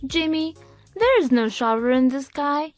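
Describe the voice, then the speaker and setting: A woman plays a young, knowing guide in a children's educational cartoon. The voice is high and swings animatedly as it explains, with light music underneath. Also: lively intonation, unhurried. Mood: explaining.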